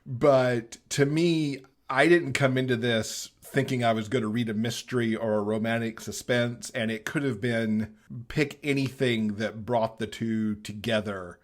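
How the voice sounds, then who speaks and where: A man's voice: mid-range voice, steady tone, a little slow. A man talking casually as a guest in a podcast discussion about books.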